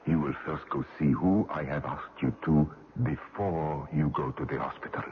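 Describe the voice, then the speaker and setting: An actor playing an imprisoned French general, resolute and concerned, with a deep gravelly voice in a radio drama. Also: male voice, moderate pace. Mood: secretive concern. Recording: muffled, noisy old radio broadcast.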